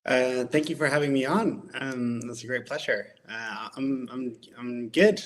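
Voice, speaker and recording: A man's voice: medium pitch, measured pace. Hesitant male guest. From Norway. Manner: hesitant, stammering repeats. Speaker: guest author. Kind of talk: interview answers.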